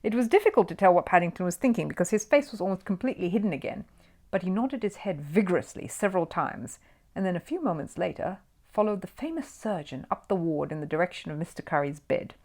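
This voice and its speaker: Expressive woman reading a children's story. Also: fairly low pitch, fast talker. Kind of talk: expressive children's audiobook narration.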